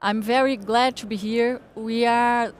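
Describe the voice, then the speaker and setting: A Brazilian woman, a native Portuguese speaker, giving a partly read speech in English with halting delivery, repeating and correcting words. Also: on the high side, steady tone, a little hiss or hum. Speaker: panel speaker, political scientist.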